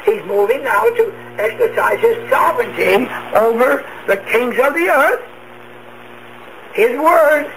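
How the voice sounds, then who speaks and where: A man's voice: very high-pitched, very animated, a little slow, fairly bright tone. A man preaching an end-times radio sermon, emphatic, asking rhetorical questions and answering them himself. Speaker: preacher, self-proclaimed prophet. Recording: noisy radio broadcast.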